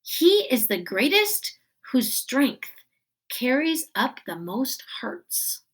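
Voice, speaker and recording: Woman reading as a slow, solemn male school principal. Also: high voice, sing-song, fairly bright tone, husky, full character voice. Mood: moved, proud. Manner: slow, weighty, ceremonial. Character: solemn, moved male school principal giving an award speech.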